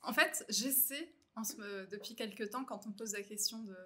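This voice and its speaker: A woman's voice: mid-range voice, normal speed, bright, forceful tone, husky. A woman from Normandy with a French accent, speaking as a podcast guest in a casual, reflective and explanatory way, often rephrasing as she goes. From Normandy, France. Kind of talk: casual conversational interview.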